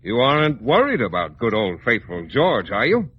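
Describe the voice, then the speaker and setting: An actor playing a haughty, bossy painter with a morbid streak, imperious and theatrical in old radio comedy style. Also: male voice, medium pitch, wildly swooping pitch, normal speed. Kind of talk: 1940s-50s radio comedy acting. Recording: muffled, faintly noisy old radio broadcast.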